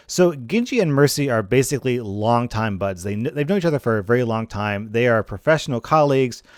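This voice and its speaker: A man's voice: medium pitch, no room sound. Casual male gaming commentator, dry close mic. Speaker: gaming video host.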